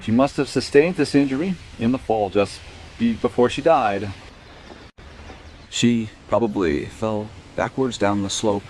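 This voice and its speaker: An actor playing a matter-of-fact police sergeant in a crime radio play, deliberate and analytical. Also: male voice, medium pitch, a little mellow, a little hiss or hum, close to an everyday voice. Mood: analytical, sober.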